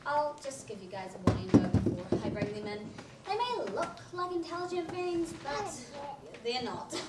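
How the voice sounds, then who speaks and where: Stage technician, female woman acting, earnest explainer, self-important, high register, clearly noisy room. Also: sing-song, normal speed. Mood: explaining. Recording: echoey noisy room from far off.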